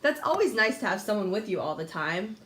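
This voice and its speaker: A woman's voice: mid-range voice, very animated, brisk, fairly bright tone. A young woman runs a scripted mock talk show, with a voice that rises and falls a lot. She sounds upbeat and reads her questions off a script. The room around her is echoey.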